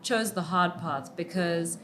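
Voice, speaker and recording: A woman's voice: on the low side, slow, a bit bright. A South African woman, a filmmaker, answering casually in an interview, often saying "like" and "you know". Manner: informal, peppered with "like" and "you know". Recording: clear background noise, room ambience.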